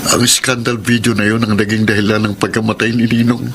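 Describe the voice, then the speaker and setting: A man's voice: on the low side, little pitch movement, brisk, background noise, lightly acted. An actor playing a shamed, guilt-ridden man sinking into despair in a Filipino radio drama. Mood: anguished, ashamed. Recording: loud music under the voice.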